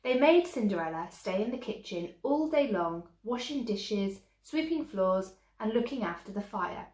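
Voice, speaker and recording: A woman's voice: mid-range voice, lively intonation, normal speed, a little mellow. A woman storyteller reading a children's tale expressively, like a teacher to young listeners. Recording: echoey room.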